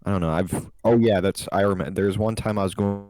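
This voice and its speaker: A man's voice: deep voice, fairly even intonation, fairly quick, over music. A young man co-hosting a podcast, chatting casually in a rambling, crude way with lots of restarts. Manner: rambling, crude, loose storytelling with many restarts. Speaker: podcast co-host. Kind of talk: casual chat.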